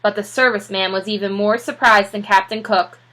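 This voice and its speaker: A woman narrating a children's storybook aloud like a teacher, with expressive delivery. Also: medium pitch, brisk, a little hiss or hum.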